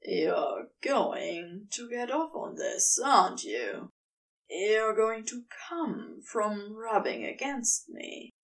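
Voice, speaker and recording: A woman voicing a smug, teasing male serpent in a story, with a breathy murmur. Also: a little high, expressive, slow pace, recorded from a distance. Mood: teasing, aroused.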